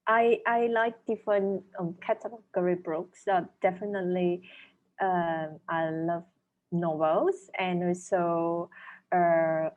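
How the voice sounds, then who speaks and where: A woman with a Malaysian accent, a native Chinese speaker, answering interview questions as an author in a thoughtful, measured way, searching for words. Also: on the low side, steady tone, phone-quality sound. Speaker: author and dentist guest.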